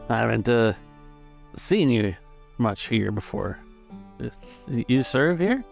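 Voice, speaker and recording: A man voicing a gruff, plain-spoken old navy veteran in a tabletop game. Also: medium pitch, very animated, slow, heavily acted. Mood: gruff, weary. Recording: music behind the voice.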